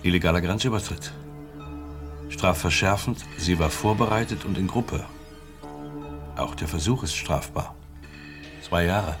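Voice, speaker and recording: Deep, coldly menacing secret policeman. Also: music in the background. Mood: cold, officious. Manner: measured, insinuating, officious. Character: smug, coldly menacing East German secret police officer. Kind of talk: radio drama dialogue.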